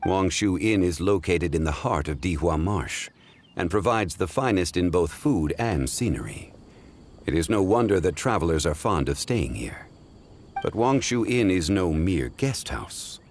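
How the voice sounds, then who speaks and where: A man voicing an ancient, wise, scholarly gentleman in a video game cutscene, speaking in a formal, measured and reflective way. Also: sits low, expressive, a little hiss or hum. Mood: calm, reflective. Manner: formal, measured, eloquent, contemplative.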